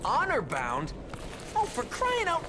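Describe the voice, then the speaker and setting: Lively, serious young leader. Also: male voice, very high voice, slow, noisy background, exaggerated voice. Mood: commanding. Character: serious, responsible teenage turtle team leader. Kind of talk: video game cutscene acting.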